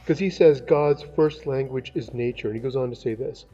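An older man, a pastor, giving a relaxed, conversational devotional and prayer into a webcam in a large indoor room. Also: mid-range voice, moderate pace, husky, soft background music. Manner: conversational, relaxed, musing with asides. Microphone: webcam, close framing. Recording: dull lo-fi webcam sound.

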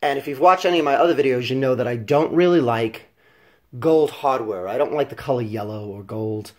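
A man's voice: mid-range voice, brisk. A man with a congested, stuffy voice from a head cold, casually reviewing a product at home with lots of ums and restarts. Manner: chatty, many ums and restarts, drops softer for close-up details. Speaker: fashion vlogger. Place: indoors at home, filming over a carpeted floor.

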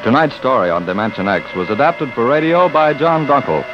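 A man's voice: mid-range voice, animated, fairly quick. A man announcing formally and dramatically in a 1950s radio style. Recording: dull 1950s radio recording. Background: faint music.

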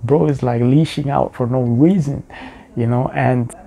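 A man's voice: mid-range voice, fairly even intonation, measured pace, creaky, mellow, soft background music. A young man hosting a reaction video, talking in a laid-back, mocking way with lots of slang, at a webcam. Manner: laid-back, mocking, says bro often. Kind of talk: casual commentary.

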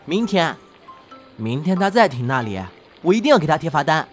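A man's voice: on the high side, sing-song, a little fast, over loud music. A young man playing an earnest, easily flustered male traffic officer in an audio drama, prone to stammering. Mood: indignant.

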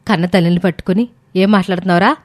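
A woman's voice: lowish voice, fairly even intonation, racing, close to an everyday voice. A woman voicing an aggrieved older mother and grandmother who scolds and complains in a family story. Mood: hurt. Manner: scolding, plaintive.

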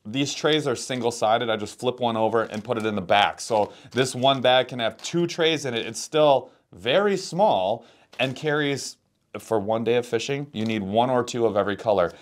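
A man's voice: mid-range voice, normal speed. A man in his thirties co-hosting a fishing channel, explaining tackle in casual chat with lots of "like" fillers, recorded on a lapel mic in an indoor studio. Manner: relaxed and explanatory, with many "like" fillers. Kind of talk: casual gear-review chat.

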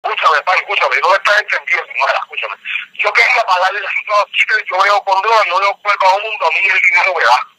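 Fast, pressuring man on a phone line. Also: sits high, bright, forceful tone, a little hiss or hum. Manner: pressuring, intimidating, repeats "me entiendes". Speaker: phone scam caller. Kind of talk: phone call. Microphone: telephone.

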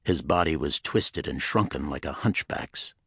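Low, husky male thriller narrator. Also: unhurried, fairly bright tone, no echo, studio quality. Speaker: audiobook narrator. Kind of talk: dramatic pulp thriller narration.

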